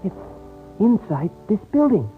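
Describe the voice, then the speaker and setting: An actor playing an anxious, deferential Mexican sidekick with a Mexican Spanish accent and careful, polite English in a radio western. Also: male voice, sits high, sing-song, measured pace, faint music behind. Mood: worried. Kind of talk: old-time radio western acting. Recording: tinny, narrow old radio recording.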